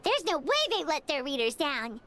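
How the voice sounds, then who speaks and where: A woman voicing a tiny, nosy female game companion who chatters excitably. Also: high-pitched, normal speed, loud music behind the voice. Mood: gossipy.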